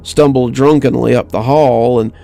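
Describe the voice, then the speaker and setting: A man reading a scripted horror story in a measured, dramatic manner as the narrator. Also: medium pitch, steady tone, creaky, dark tone. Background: music bed.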